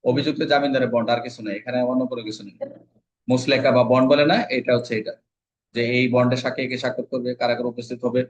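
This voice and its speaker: Rapid-fire Bangladeshi male law tutor, fairly flat. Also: medium pitch. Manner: repetitive, drilling with rhetorical questions he answers himself; mixes English legal terms into speech. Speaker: law exam coach. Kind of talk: informal lecture explaining legal code sections. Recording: dull, lo-fi audio.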